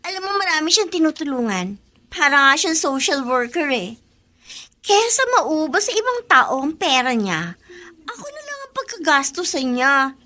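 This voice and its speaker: A woman's voice: high voice, very animated, moderate pace, bright, forceful tone, full character voice. A woman acting a spoiled, scheming young daughter with a bratty, mocking manner in a melodramatic radio drama. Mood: smug, mocking. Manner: bratty, mocking, self-justifying.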